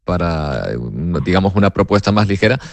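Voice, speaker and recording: A man's voice: deep voice, expressive, brisk, no room sound. A man hosting a radio interview, asking questions in a conversational way. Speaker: radio host.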